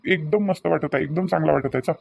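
A man's voice: fairly high pitch, fast talker, creaky. A man voicing a young newlywed husband in a cartoon, doting and a little impatient, coaxing insistently in a Varhadi Marathi accent from Vidarbha. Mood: reassuring. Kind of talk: cartoon dialogue acting in colloquial dialect.

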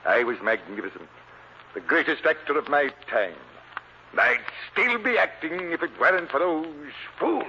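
A grandiose, sharp-voiced theatrical villain. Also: male voice, a little high, expressive, normal speed. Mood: proud, bitter. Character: vain, bitter retired stage actor, menacing villain. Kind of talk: old-time radio drama acting. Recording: dull, noisy old radio recording.

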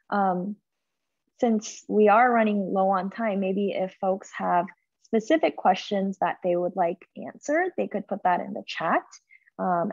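A woman's voice: mid-range voice, creaky, darkish tone, dry recording. A young woman speaking as a webinar panelist, explaining things in an earnest, reflective manner. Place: indoor room, on a video call. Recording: dull video-call audio.

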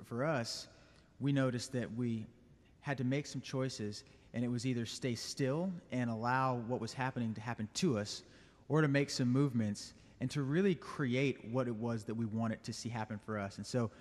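A young adult man, a guest at a church service, answering interview questions in a calm and reflective way. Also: medium pitch. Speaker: guest, business owner and band leader. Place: church sanctuary. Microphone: stand mic. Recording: long reverb of a church hall.

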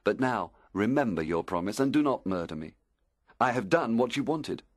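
A man voicing a lovesick, earnest young gentleman in a storybook, with an ardent, pleading manner. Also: lowish voice, expressive, normal speed, no room sound, studio quality.

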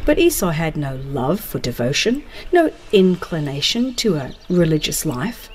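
A woman reads a religious audiobook as solemn, dramatized narration, in a measured and deliberate way. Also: sits low, big pitch swings, darkish tone, a little hiss or hum. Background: music.

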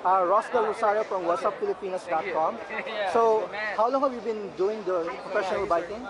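A male interviewer asking questions in a halting way, often restarting and repeating the answers he hears, at a busy indoor expo. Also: high-pitched. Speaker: interviewer, blog reporter. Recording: noisy crowd in a large hall.

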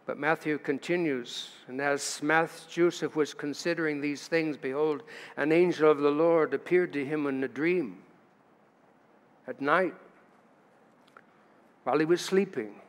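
Older pastor preaching in reverberant church. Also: male voice, on the high side, a little slow, pauses often. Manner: storytelling, reading scripture aloud with asides. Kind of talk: sermon. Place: church sanctuary at a lectern. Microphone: lectern mic. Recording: church livestream with long reverb.

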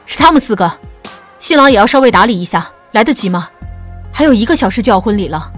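A woman's voice: mid-range voice, animated, fast, soft background music, close to an everyday voice. A woman voicing a flustered, urgent wedding organiser in an audiobook drama. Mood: anxious, urgent. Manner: urgent, pressing.

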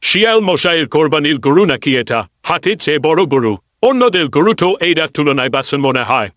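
A man's voice: a little high, very animated, brisk, a bit bright, dry recording. A man playing a plain-spoken animal neighbour in a cartoon, with a moralising manner. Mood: impressed. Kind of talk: Bengali cartoon dialogue.

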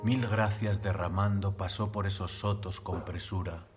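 Low-voiced man reciting poetry over music. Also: steady tone, unhurried. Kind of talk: solemn recitation of classical mystical verse.

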